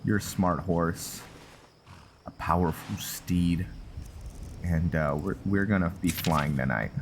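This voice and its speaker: Slow, low-voiced man doing game commentary. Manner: rambling, thinking aloud. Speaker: gaming channel host. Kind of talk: casual game roleplay commentary. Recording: clearly noisy background.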